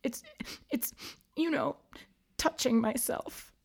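A woman voicing a self-pitying teenage girl ghost who sobs and wails in a high, shrill voice. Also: takes it slowly, gravelly, darkish tone, slightly rough, heavily acted. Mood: shy. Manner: wailing, sobbing, high and shrill.

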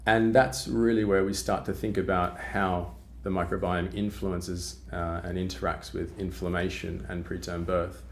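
A middle-aged Australian man with a deep, low voice explains his research patiently, pausing on "uh" and restarting phrases as he goes. His accent has a British tinge. A faint hiss sits under the recording. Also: level tone. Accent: Australian with British influence. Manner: explanatory, with frequent fillers and restarts. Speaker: guest scientist. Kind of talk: podcast interview.

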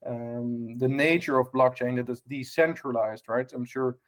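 Dutch-accented man, halting interview guest. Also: medium pitch. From Netherlands. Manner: many fillers and restarts, tag questions. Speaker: guest, hospitality tech lead. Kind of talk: casual podcast interview.

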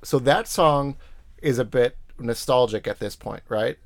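A man's voice: medium pitch, unhurried, gravelly, no echo. A young adult man with a Boston accent hosting a casual family podcast, talking in an encouraging, coaching way with lots of fillers. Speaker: podcast host.

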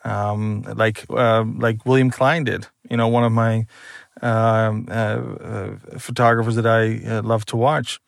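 A man's voice: fairly low pitch, flat delivery, unhurried, vocal fry, no room sound, studio quality. A man from the Netherlands, a photographer, answering interview questions in a hesitant way with many fillers and restarts.

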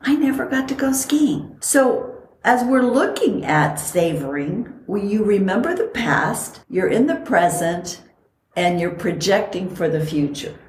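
A woman's voice: medium pitch, sing-song, a little slow, a little mellow, reverberant, slight background noise. A middle-aged woman from Costa Rica, co-hosting a podcast and telling stories in a reflective, chatty way. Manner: reflective storytelling. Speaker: podcast co-host. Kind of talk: casual chat.

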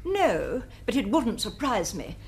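A woman's voice: on the high side, big pitch swings, measured pace. A snooty genteel lady witness, precise and disapproving, radio drama, slight hiss. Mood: cool, disapproving. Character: prim, disapproving genteel Englishwoman giving evidence. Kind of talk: full-cast radio mystery acting.

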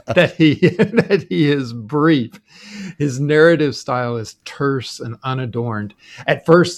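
A man co-hosting a podcast, explaining Bible passages in a conversational, explanatory way and sometimes chuckling. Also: mid-range voice. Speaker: podcast co-host.

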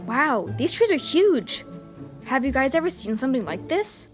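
Woman playing a high-voiced plucky girl. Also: sing-song, moderate pace, over music. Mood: awed. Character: plucky, teasing girl adventurer, school-age. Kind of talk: children's fantasy audio drama acting.

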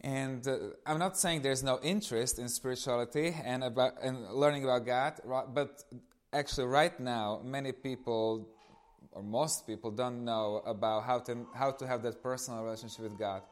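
A man in his thirties with a Slovak accent and a mid-range voice gives an informal personal testimony. He talks to his audience as a guest speaker. His storytelling is animated, with self-amused asides. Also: medium pitch, normal speed. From eastern Slovakia.

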